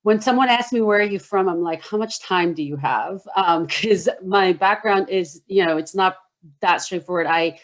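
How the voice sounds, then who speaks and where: A woman's voice: mid-range voice, normal speed. A woman with an American accent who grew up in India, speaking candidly and with light amusement on a video panel. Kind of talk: personal panel talk over video.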